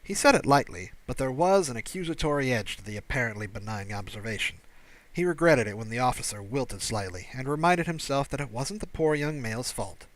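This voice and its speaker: A man's voice: mid-range voice, lively intonation, fairly quick. A man reading science fiction as an audiobook narrator in scripted storytelling style.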